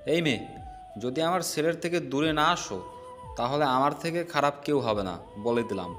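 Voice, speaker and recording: Actor as middle-aged father, stern, menacing, slightly high male voice, audio drama, soft music. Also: lively intonation, moderate pace. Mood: threatening. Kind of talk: audio story acting.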